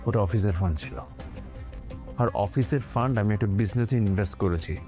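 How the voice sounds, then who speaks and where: A man's voice: on the low side, fairly quick, dark tone, soft background music, close to an everyday voice. An actor playing a defiant, dismissive office man in a TV family drama, with a Bangladeshi accent. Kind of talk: TV drama acting.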